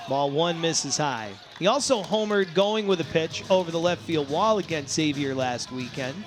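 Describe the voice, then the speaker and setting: A man calling live baseball play-by-play, descriptive between plays and excitable on big ones. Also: fairly high pitch, normal speed. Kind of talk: live sports play-by-play commentary. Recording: crowd and music behind commentary. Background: ballpark crowd, music.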